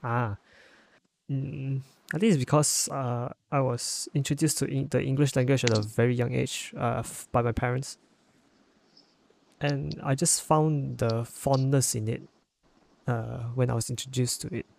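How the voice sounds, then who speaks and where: A young man with a Malaysian accent, co-hosting a podcast in a relaxed, thoughtful way with some hesitation. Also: medium pitch, unhurried, creaky. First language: Chinese. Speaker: podcast co-host. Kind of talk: casual chat.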